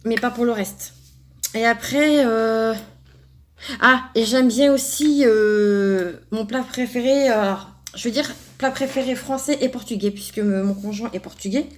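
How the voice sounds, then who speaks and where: A woman's voice: on the high side, a little slow. A young woman from France chatting casually to her subscribers, rambling with lots of fillers and restarts as she answers questions. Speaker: craft YouTuber answering a subscriber tag. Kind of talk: casual chat to camera.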